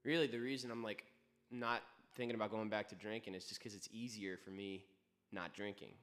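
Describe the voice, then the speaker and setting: A man's voice: on the low side, fairly even intonation, fairly bright tone. Brief: male musician, loose rambling chat between songs, swears freely, easygoing, speeds up in bursts. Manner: rambling, profane, laid-back with quick bursts. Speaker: singer-songwriter hosting a livestream.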